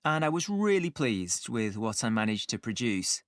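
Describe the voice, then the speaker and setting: A man's voice: mid-range voice, very animated, normal speed, no echo, clean studio sound, natural voice. A man playing a keen young food science student in a scripted discussion.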